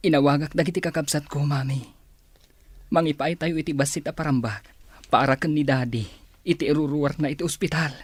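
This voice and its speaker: A man's voice: a little high, fairly even intonation, brisk, slightly rough. A man with a Filipino accent playing a dutiful, affectionate grown son in a radio drama. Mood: eager, earnest.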